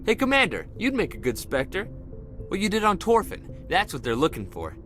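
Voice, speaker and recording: A man acting an eager, restless young marine corporal in a video game, excitable and earnest. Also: high voice, moderate pace, full character voice. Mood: keyed up. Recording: loud music behind the voice. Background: music.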